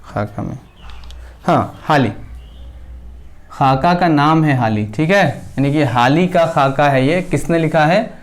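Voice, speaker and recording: Slow, repetitive male teacher dictating. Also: a little high. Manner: asks the class questions and answers them himself. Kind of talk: classroom lecture at a board. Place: classroom with a board.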